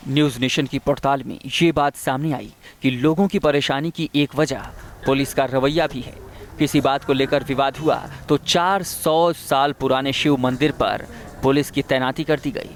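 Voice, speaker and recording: A man delivers a scripted television news voice-over. His voice sits at a medium pitch, and he narrates as a reporter presenting a packaged report. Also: fairly even intonation, moderate pace.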